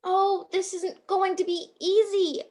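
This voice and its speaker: Woman playing a very high-voiced, earnest apprentice angel. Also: level tone, slow, a little mellow. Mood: gently persuasive. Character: earnest, bumbling apprentice guardian angel, kindly and chatty. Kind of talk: radio play acting. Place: at home on a video call.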